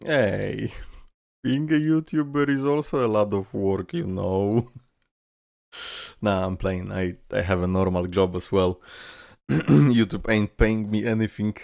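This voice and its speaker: A man's voice: on the low side, slow, vocal fry, darkish tone, no room sound, studio quality. A man giving casual, informal reaction commentary as a YouTuber, thinking aloud and swearing mildly. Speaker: YouTuber, streamer.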